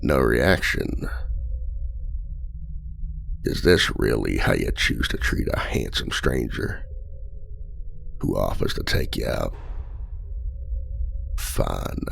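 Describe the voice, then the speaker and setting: A man's voice: big pitch swings, slow, pauses often, a bit bright, a little hiss or hum, heavily acted. A man playing a charming imprisoned male outlaw trickster in an ASMR roleplay, teasing and flirtatious in a silky voice. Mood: mocking. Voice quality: deep gravelly voice.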